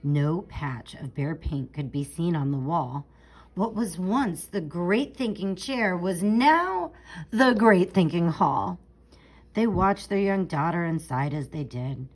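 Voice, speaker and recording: A woman reading a rhyming children's picture book aloud in an expressive, theatrical way, stretching out key words for emphasis. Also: on the low side, slow pace. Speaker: storybook reader.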